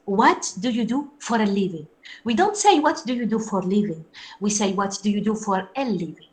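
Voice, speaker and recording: Woman teaching English in a lively voice. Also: fairly high pitch. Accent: Algerian French-influenced English. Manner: explains slowly, repeats example phrases, asks students if they follow. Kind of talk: online class lecture.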